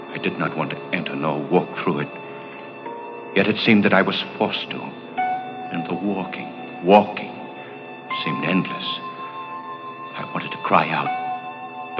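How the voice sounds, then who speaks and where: An actor playing a guilt-haunted blind doctor in old radio drama, speaking slowly and broodingly. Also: male voice, extremely low pitch. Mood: dread. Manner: slow, halting, brooding delivery. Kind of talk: 1940s radio drama acting. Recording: muffled vintage radio recording with music.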